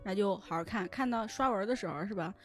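A woman speaking as a podcast guest in casual chat, explaining things in an animated way with frequent check-in questions. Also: medium pitch, fast, soft background music. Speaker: guest, novel recommender and editor.

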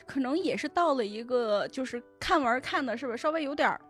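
High-voiced woman explaining casually. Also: animated, quick pace, soft background music. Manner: colloquial, explains step by step, often checks with "right?". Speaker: guest web-novel editor on a podcast. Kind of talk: casual explanatory podcast chat.

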